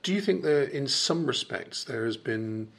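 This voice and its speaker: A man interviewing a guest, asking questions conversationally with measured, tentative phrasing. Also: mid-range voice, expressive, vocal fry.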